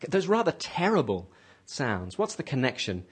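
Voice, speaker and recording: A man's voice: mid-range voice, big pitch swings, moderate pace, husky. A man hosting a radio English lesson, patiently asking a class questions and repeating their answers back to them. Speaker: host leading an English class.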